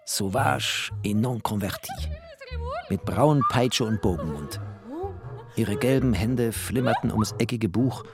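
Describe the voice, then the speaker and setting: An actor playing a wry, bookish man who narrates a literary radio play in a mannered, expressive way. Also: lowish voice, unhurried, over music. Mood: lyrical, admiring. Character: bookish, wry first-person narrator, a former interpreter.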